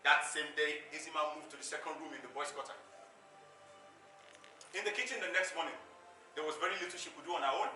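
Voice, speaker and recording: A man's voice: fairly high pitch, fast, long pauses, pushed and bright. A man narrates a stage play in an emphatic, declamatory storytelling style, recorded on an echoey stage. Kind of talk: dramatic theatrical storytelling. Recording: echoey stage, distant mic, background music.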